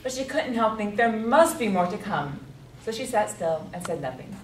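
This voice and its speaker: A woman's voice: mid-range voice, animated, moderate pace. A woman narrating a readers' theatre story in a dramatic, scripted storytelling style. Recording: echoey distant recording, faint noise.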